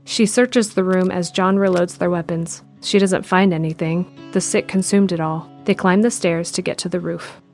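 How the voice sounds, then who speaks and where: A woman giving steady scripted narration of an audio drama in the present tense. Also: on the low side, moderate pace, soft background music.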